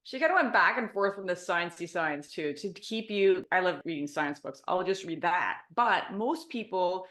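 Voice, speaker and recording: Low-voiced chatty woman. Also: animated, normal speed, hardly any pauses, fairly bright tone. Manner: chatty, reflective, says "like" often. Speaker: book club podcast member. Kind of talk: casual chat.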